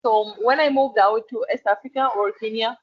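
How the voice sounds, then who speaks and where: A woman whose first language is Arabic speaks earnestly in a fairly high voice. She often stops and restarts her sentences. The sound is dull and dry, with no room around it. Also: fairly even intonation, slightly rough, dry recording. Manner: earnest, fast and halting, with frequent restarts. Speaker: interview guest, export manager. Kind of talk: conversational interview.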